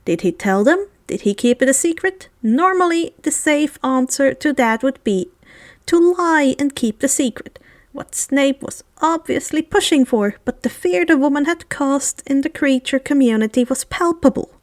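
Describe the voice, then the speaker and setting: A woman's voice: high-pitched, expressive, normal speed, no room sound, clean studio sound. A woman reading fan fiction aloud as an amateur narrator, in scripted storytelling style.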